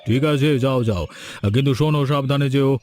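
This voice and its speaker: A man's voice: medium pitch, fairly quick, darkish tone, faint music behind, lightly acted. A man voicing a stern but generous village landlord and father in a cartoon folk tale. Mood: indulgent.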